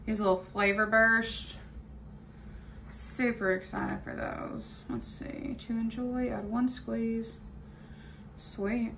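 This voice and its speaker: A young adult woman chatting casually to her phone camera in a home kitchen, sounding tired, unhurried and rambling. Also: a little high, little pitch movement, vocal fry, fairly bright tone, a little hiss or hum. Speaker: vlogger. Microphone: phone front camera at arm's length.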